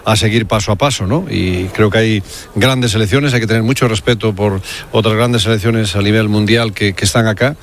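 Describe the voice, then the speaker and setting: Man, fairly low voice, sports official, measured, tag questions, faint background noise. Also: fairly even intonation. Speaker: football federation president. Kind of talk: press appearance, spontaneous answers. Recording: background noise of a live press appearance.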